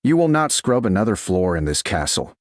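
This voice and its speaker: Man voicing a stern low-pitched duke. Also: sing-song, a little fast, no echo, studio quality. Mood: commanding. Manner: clipped, certain, insistent. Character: stern commanding adult male duke, wounded but controlled.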